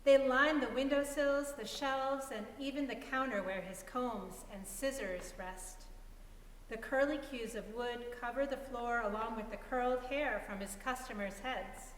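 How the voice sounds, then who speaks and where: A woman's voice: fairly high pitch, measured pace. A woman giving a scripted dramatic reading of a story as narrator. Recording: echoey hall, distant mic, faint hiss.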